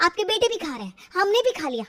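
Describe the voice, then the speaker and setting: A woman's voice: extremely high pitch, very animated, fast talker, sharp tone, exaggerated voice. A woman voicing a young daughter-in-law in a story, polite and dutiful but firm as she explains herself. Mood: firm, fed up.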